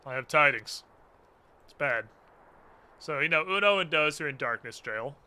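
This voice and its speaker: A man's voice: a little high, lively intonation, normal speed, bright, forceful tone, clean tone. A man doing casual gaming commentary in a sarcastic, mock-dramatic and profane way. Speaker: game streamer. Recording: music playing behind. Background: game music.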